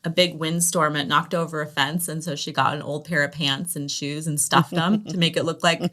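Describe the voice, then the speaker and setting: A woman's voice: sits low, steady tone, normal speed, a bit bright. Chatty woman telling stories. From Los Angeles area, United States. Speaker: podcast guest. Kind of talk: casual conversational storytelling.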